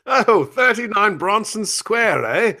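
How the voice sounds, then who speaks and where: Genial detective for radio spoof, played by a man: high, bright, slow, declaiming. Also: big pitch swings, full character voice. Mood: pleased, musing. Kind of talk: hammy old-time radio parody.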